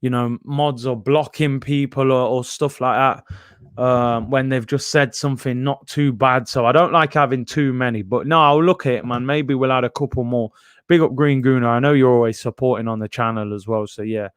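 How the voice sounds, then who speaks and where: A man's voice: mid-range voice, steady tone, moderate pace, clear, resonant tone, no room sound, clean studio sound. A young man, casually hosting a live football stream from home and reading out viewer comments. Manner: chatty, reads viewer comments aloud. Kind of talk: casual live watchalong chat.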